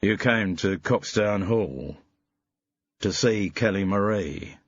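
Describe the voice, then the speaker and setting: A man voicing a big, slow-witted young man who talks with a slight borrowed American twang in a staccato, rehearsed way. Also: low voice, a bit bright, slightly rough, no room sound, highs cut off, exaggerated voice. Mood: flat menace.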